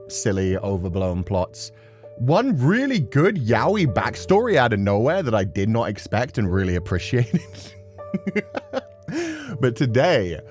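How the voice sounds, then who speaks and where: A man's voice: low-pitched, a little mellow. A man chatting casually and expressively as a livestream host before playing a game. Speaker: livestream host, gaming streamer. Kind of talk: casual rambling stream chat before a game.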